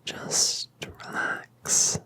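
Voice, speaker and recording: A woman whispering as a gentle mother dragon. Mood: soothing. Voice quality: breathy whisper. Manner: soft, slow, soothing. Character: colossal gentle mother dragoness, ancient and tender. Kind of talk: whispered ASMR roleplay.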